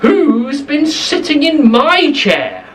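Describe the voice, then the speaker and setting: A woman voicing a fussy, displeased mother bear in a storybook tale, asking slowly and with emphasis. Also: sits high, wildly swooping pitch, distant mic, voice pushed up, heavily acted.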